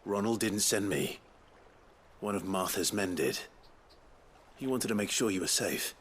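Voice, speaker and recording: A man playing a brooding, terse young hero in a video game, speaking in a measured, hushed way. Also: on the low side, a little hiss or hum. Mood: calm, serious. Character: brooding young man, bearer and reluctant helper.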